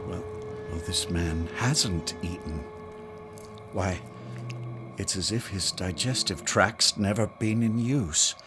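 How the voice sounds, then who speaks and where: A man playing a sober, matter-of-fact colonial doctor in a period radio drama, with a measured, explanatory delivery. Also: low voice, music in the background. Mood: intent. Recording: clear ambient noise behind.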